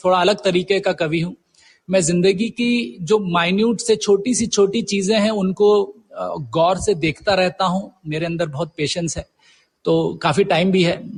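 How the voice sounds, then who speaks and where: A middle-aged man from India with a slightly high, husky voice. He speaks as an interview guest, reflective and conversational, slipping English words into his sentences as he tells anecdotes. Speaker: guest poet and professor.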